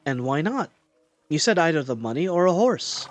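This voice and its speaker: Animated, shrewd young fantasy hero played by a man. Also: a little high, normal speed, faint music behind. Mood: confident, challenging. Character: shrewd, bold young man posing as farmhand. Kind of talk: audio roleplay acting.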